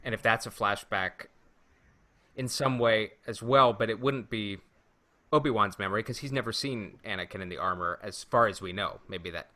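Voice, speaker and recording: A man hosting a podcast in casual chat, talking in a halting, stop-and-start way. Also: fairly low pitch, pushed and bright. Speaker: podcast host. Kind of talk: casual chat about Star Wars rumors.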